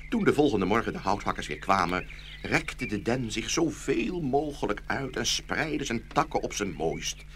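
A man narrating a children's fairy tale in an expressive scripted storytelling style. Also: on the low side, normal speed. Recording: faint background noise.

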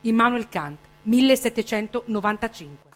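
A woman's voice: fairly high pitch, lively intonation, normal speed. A woman declaiming a written text as a stage reader.

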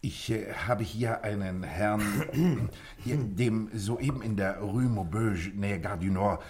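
A man's voice: fairly low pitch, takes it slowly, darkish tone. An actor playing an eager, deferential police sergeant in a radio crime drama, hesitant and bumbling as he reports. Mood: flustered, dutiful.

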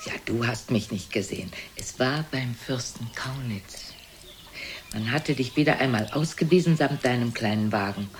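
A woman's voice: very deep voice, normal speed, a little hiss or hum. An actress playing an imperious, blunt, aged mother who coolly belittles her son in a theatrical radio play. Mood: coolly reminiscing, belittling. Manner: haughty, probing, theatrical. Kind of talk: German radio play acting.

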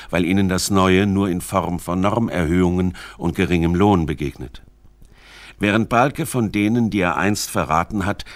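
Man, low pitch, scripted documentary narration, articulate, formal, very dry acoustic. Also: no room sound.

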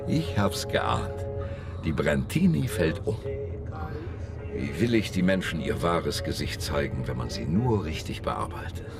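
Very deep, creaky, pompous politician. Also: male voice, big pitch swings, measured pace, exaggerated voice. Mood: lofty, scornful. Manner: pompous, grandiose, oratorical. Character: visionary, cynical power-hungry older politician, menacingly smooth persuader. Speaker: minister in a radio drama. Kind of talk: radio drama acting. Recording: loud music underneath.

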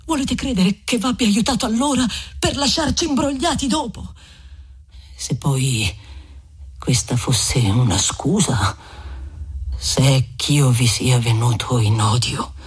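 A stubborn young peasant lover, as a woman reader puts him on, pleading and indignant in a low, hushed register. His voice is very breathy and husky, never smooth. He presses his case insistently, as in a storybook reading. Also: very animated, takes it slowly, long pauses, exaggerated voice. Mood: hurt, indignant. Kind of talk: audiobook reading of a classic novel.

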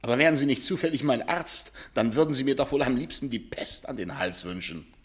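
An actor playing a haughty, suspicious rich husband in a German radio crime drama, sardonic and condescending, speaking in deliberate phrases. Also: male voice, mid-range voice, breathy edge, lightly acted.

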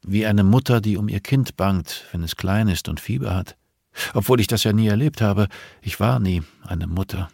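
A man voicing a calm, grieving older nun in an audiobook, measured, solemn and quietly reproachful. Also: fairly low pitch, vocal fry, breathy edge, no room sound, studio quality. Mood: wistful, sleepless.

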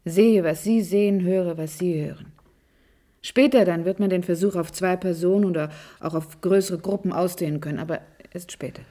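A woman's voice: lowish voice, moderate pace, mellow, natural voice. Woman acting commanding old visionary woman. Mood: reassuring. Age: older. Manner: authoritative, insistent, formal. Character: commanding, impatient old female visionary leader. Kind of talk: radio play dialogue.

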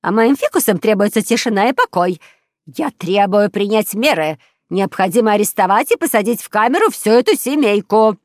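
A woman voicing a furious, fussy older female neighbour in a children's storybook, loud and indignant. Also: mid-range voice, lively intonation, moderate pace, dry recording, clean studio sound, pitched above the performer's own voice, lightly acted. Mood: indignant, demanding. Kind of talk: children's audio storybook character voice.

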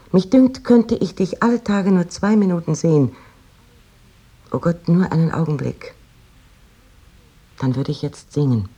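Husky, lovesick letter writer. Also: male voice, fairly high pitch, warm tone, dull audio, heavily acted. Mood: ardent, yearning. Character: lovesick, yearning letter writer pining for a distant beloved. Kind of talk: dramatic reading of 19th-century letters.

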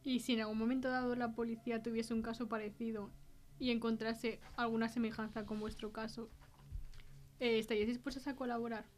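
A woman performs as a composed mystery podcast host with an accent from Spain. Her voice sits fairly high as she interviews guests. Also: level tone, a little fast, darkish tone, natural voice. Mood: inquiring.

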